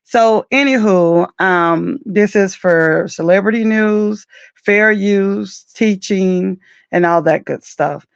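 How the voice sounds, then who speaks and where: Raspy woman chatting, dull dry sound. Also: takes it slowly, no echo. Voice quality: raspy, deep morning voice. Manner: chatty, loose, with many fillers and asides. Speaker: commentary show host. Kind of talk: casual commentary on reality TV.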